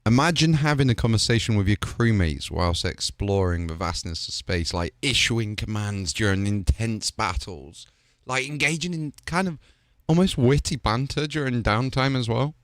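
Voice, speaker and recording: A man's voice: fairly low pitch, animated, normal speed, no echo, clean studio sound. A man hosting a product showcase, hyped and rambling, with filler words. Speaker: host.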